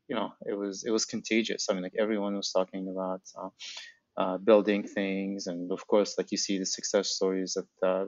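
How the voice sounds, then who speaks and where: A man's voice: lowish voice, dry recording. A middle-aged man from Algeria giving casual, informal interview answers as a guest, on slightly dull audio. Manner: informal, frequent filler "like". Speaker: podcast guest, startup founder.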